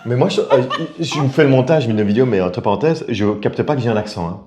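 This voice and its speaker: A middle-aged man chatting casually with his family's viewers. He speaks at an ordinary pitch and explains things patiently, one step at a time, in a relaxed way. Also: quick pace, a crowd in the background. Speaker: family vlogger. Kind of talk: casual chat to camera.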